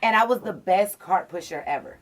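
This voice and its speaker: Raspy-voiced young woman, emphatic. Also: mid-range voice, expressive, noisy background. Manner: emphatic, motivational, quick to laugh. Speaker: interview guest, radio personality. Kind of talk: casual chat.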